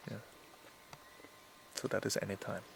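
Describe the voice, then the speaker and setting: Slow-spoken Austrian-born man, faint hiss. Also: rough recording. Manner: calm, plain-spoken, with hesitant fillers. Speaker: spiritual coach and musician. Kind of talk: interview answers.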